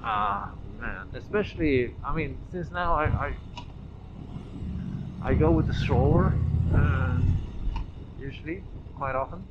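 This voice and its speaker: A man rambling casually and haltingly, thinking aloud as he vlogs from a moving motorcycle. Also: medium pitch. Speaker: motovlogger. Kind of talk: casual rambling vlog while riding a motorcycle. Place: outdoors on a moving motorcycle on a road. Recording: muffled helmet audio, loud wind and engine noise.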